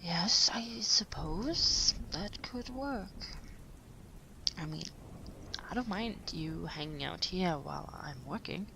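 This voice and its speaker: Caring late-night writer partner played by a woman, soft and breathy with some creak, slow hesitant murmur, sleep-aid audio roleplay. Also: on the low side, animated, a little hiss or hum, full character voice. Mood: gentle, reassuring. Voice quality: breathy, half-whispered. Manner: gentle, hesitant, unhurried murmuring.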